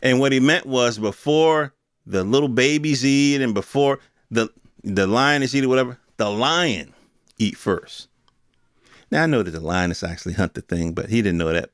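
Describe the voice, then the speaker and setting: A man's voice: mid-range voice, dry recording. Man, fifties, American accent, casual ranting podcast host, emphatic and slangy, very dry sound. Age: 50s. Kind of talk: opinionated solo monologue, casual rant.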